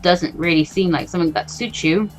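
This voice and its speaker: A woman's voice: lowish voice, fairly quick, pitched below the performer's own voice, close to an everyday voice, poor audio. A woman voicing an easygoing, friendly, teasing working man in a visual novel, with a laid-back manner. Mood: amused, blunt. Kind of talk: visual novel character reading. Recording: music behind the voice.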